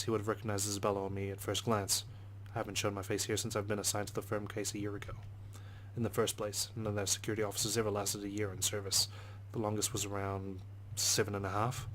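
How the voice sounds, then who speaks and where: A man's voice: fairly low pitch, monotone, quick pace, a bit bright, a little hiss or hum, poor audio. A man reading visual novel narration aloud as a let's player.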